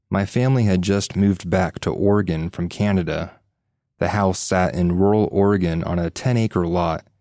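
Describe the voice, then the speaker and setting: A man's voice: low voice, mellow, no echo, studio quality. A man reading a scripted first-person eerie story as a podcast narrator. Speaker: podcast host reading listener stories.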